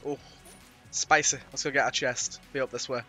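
A British man commentates casually on a game in a bright, forward voice at a middling pitch. He has a cold that leaves a slight rasp, and he talks playfully with some mild swearing. Game music plays faintly behind him. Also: flat delivery, moderate pace. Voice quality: slightly raspy. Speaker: gaming YouTuber. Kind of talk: casual let's-play commentary.

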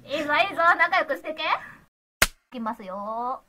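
A woman casually directing a voice drama rehearsal in an animated, encouraging and playful way over an online call. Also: sits high, moderate pace, background noise.

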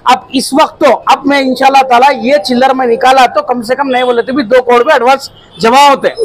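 Young Hyderabadi man, very high voice, hammy over-the-top pitch, crowded small eatery, handheld mics, faint noise. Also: steady tone, brisk, poor audio. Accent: Hyderabadi Hindi-Urdu. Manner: theatrical, comic, exaggerating, stretched-out words. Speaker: restaurant owner promoting his food court. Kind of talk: energetic promotional speech to news cameras. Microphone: cluster of handheld news mics.

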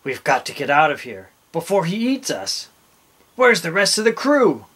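A storyteller voicing a bold, gruff gingerbread pirate captain from a storybook, with emphatic, drawn-out exclamations. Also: mid-range voice, big pitch swings, fairly bright tone, voice pushed up. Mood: urgent, commanding. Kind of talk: storybook character voice.

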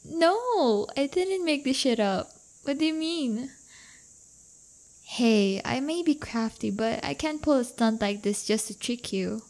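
A woman's voice: sits high, expressive, darkish tone, exaggerated voice. A woman playing a playful, crafty but affectionate teenage delinquent girlfriend in an intimate roleplay audio, teasing and coaxing. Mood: playful mocking. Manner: teasing, coaxing, drawn-out delivery.

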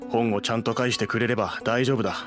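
A man's voice: medium pitch, fairly even intonation, fast talker, over music, natural voice. An actor playing a proud, fretful, down-on-his-luck bookish man with weary, old-fashioned speech in an anime game. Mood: resigned. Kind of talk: Japanese anime game dubbing.

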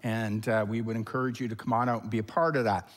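A man's voice: on the low side, flat delivery, fast. A man in his sixties with a Canadian accent, a pastor giving chatty church announcements from a sanctuary stage, full of fillers. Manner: rambling, chatty, many fillers.

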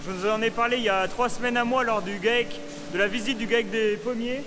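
A man's voice: very high voice, fairly even intonation, fairly quick. A young man in his twenties giving a chatty, enthusiastic farm tour vlog, filmed on a handheld action camera in a large barn. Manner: chatty, enthusiastic, frequent fillers. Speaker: farm vlogger. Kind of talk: casual vlog walkthrough. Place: large barn and milking parlour, indoors. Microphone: action camera held at arm's length.

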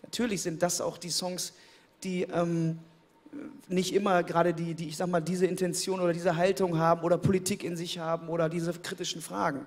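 A man in his thirties, interviewed on a studio stage, speaking into a handheld mic in a relaxed, thoughtful way, often repeating words as he searches for them. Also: high voice, fairly quick. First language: German. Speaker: interviewed pop singer. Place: indoor studio stage, seated in armchair. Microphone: handheld microphone.

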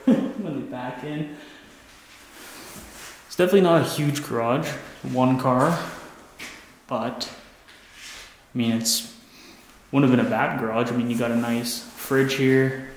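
A young man casually narrating his walk through an abandoned house, chatty and relaxed. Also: mid-range voice, a little slow, long pauses, slight background noise, poor audio. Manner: relaxed, chatty, frequent "like" and laughs. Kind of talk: casual walkthrough vlog. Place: inside an empty abandoned house.

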